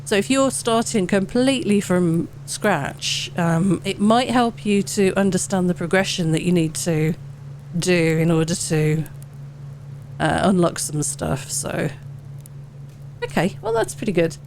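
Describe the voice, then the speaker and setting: A woman hosting a gaming channel, hoarse and wheezy from a head cold, chatting casually through update notes with lots of ums. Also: lowish voice, lively intonation, measured pace, a little mellow, slight background noise. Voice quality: wheezy, sore-throated. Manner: chatty, rambling, with many ums. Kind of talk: casual gameplay commentary.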